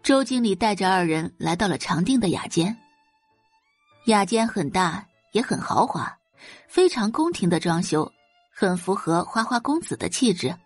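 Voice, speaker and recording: A woman reads dramatic fiction as a storyteller, with a very varied melody. Her voice is slightly husky and sits in a roomy sound. Soft music plays behind her. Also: medium pitch, very animated, normal speed. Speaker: audiobook narrator. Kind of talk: scripted novel narration, dramatic audio fiction. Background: faint background music.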